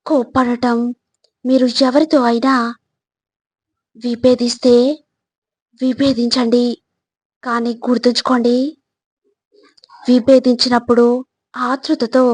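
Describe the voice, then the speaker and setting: A woman with a slightly high voice delivers scripted motivational narration. She speaks very slowly and instructively, setting out each phrase separately. The sound is dry and close. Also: breathy edge, dry recording.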